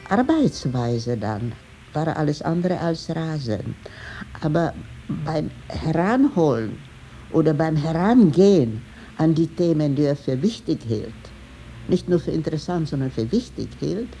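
An older woman in an interview, reflecting haltingly and searching for words as she recalls someone. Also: low-pitched, sing-song, vocal fry, dark tone, faint music behind.

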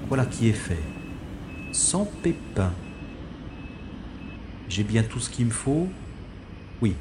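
An actor playing a wry, stubborn special agent who narrates his own science-fiction audio drama in a sardonic, deliberate way. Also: medium pitch, very animated, noisy background. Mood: watchful, wry.

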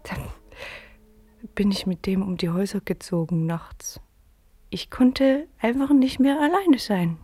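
An actress in a radio play playing an anxious, lonely woman who speaks haltingly and repeats herself. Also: medium pitch, expressive, dark tone, breathy edge. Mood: lonely, confessional. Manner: agitated, repeats words, halting. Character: anxious lonely woman living alone in a rundown flat. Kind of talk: German radio play acting.

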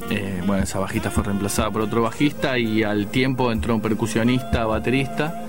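A man's voice: lowish voice, steady tone, fairly quick, over music. A man with a Rioplatense accent, a guest musician answering interview questions thoughtfully and with hesitant restarts. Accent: Rioplatense Spanish. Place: radio studio.

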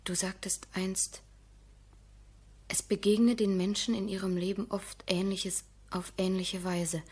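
A shattered young woman, solemn and dazed. She speaks with a breathy, husky voice in radio drama style. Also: medium pitch, flat delivery, takes it slowly, darkish tone. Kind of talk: German radio play acting.

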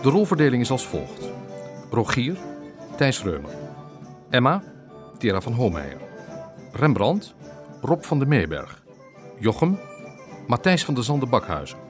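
A man's voice: medium pitch, wildly swooping pitch, unhurried, long pauses. Male radio drama announcer over music. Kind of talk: scripted credits announcement.

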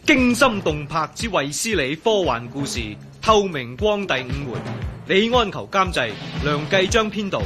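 A man's voice: high-pitched, very animated, moderate pace, music in the background. A man with a Hong Kong Cantonese accent announcing radio drama credits in a dramatic, formal style.

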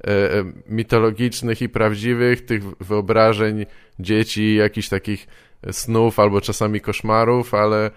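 Smooth low-voiced man, casual interviewer. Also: level tone, no echo. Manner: halting, tentative phrasing. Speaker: podcast host, interviewer. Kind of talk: casual conversation.

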